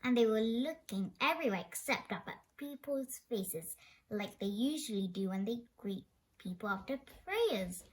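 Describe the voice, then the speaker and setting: A woman reads a children's story aloud in an expressive way. Her voice sits a little high and moves up and down a great deal. She occasionally trips on a word and carries on. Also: moderate pace. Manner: expressive, with stumbles over words. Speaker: storybook reader.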